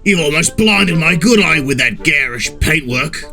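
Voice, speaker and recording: A man's voice: fairly high pitch, expressive, moderate pace, vocal fry, sharp tone, pitched above the performer's own voice, exaggerated voice. A man voicing a sour old fishing trawler in a children's story, grouching and sneering as he complains, with music behind. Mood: snappish, sneering. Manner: grouching, snapping, scornful complaining.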